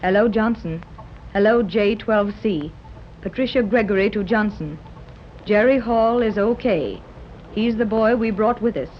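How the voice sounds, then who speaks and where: An earnest, hopeful mother leading a search at sea, voiced by an actress. Her emotional, steady delivery comes through a dull, faintly hissy 1930s radio recording. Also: medium pitch, unhurried, lightly acted. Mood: businesslike.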